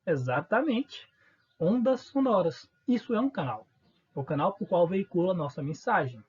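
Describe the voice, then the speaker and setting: A male teacher with a Northeastern Brazilian accent gives a recorded lesson in a relaxed, informal tone. His voice is husky and the pitch moves a lot, and he asks the class questions that he then answers himself. The recording sounds dull and lo-fi. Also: moderate pace. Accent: Northeastern Brazilian Portuguese.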